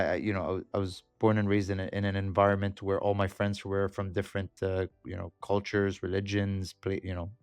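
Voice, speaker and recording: A man from Saudi Arabia speaking conversationally as an interview guest, often halting and repeating words as he tells his own story. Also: low voice, clean tone, no room sound, clean studio sound. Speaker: podcast guest, entrepreneur.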